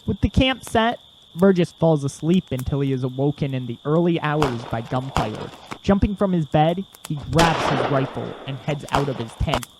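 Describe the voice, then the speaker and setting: A man's voice: a little high, animated, moderate pace, very noisy. A man reading scripted dramatic narration for an audio drama.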